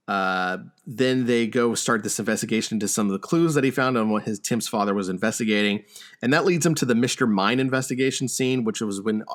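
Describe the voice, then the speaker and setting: Man chatting haltingly about a movie. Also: mid-range voice. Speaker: podcast host. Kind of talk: casual movie discussion.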